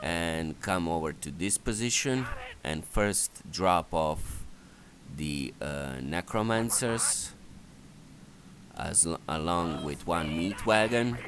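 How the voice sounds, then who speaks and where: A man's voice: low voice, expressive, takes it slowly, background noise. A man giving an unscripted strategy game walkthrough in a hesitant, step-by-step instructional manner. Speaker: gameplay guide narrator.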